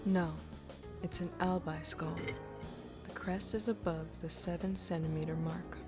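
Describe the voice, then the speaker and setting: A woman playing a blunt, deadpan, scholarly skull collector in a comedic audio drama. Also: lowish voice, a little slow, over music, close to an everyday voice. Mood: matter-of-fact. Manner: deadpan, terse, matter-of-fact.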